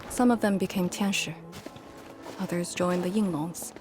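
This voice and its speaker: An actress playing a solemn, battle-worn woman commander in a video game, speaking in a grave, measured, formal way. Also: on the low side, fairly even intonation, background noise, lightly acted. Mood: somber, wistful. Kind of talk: dramatic video game story dialogue. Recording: game music behind the voice.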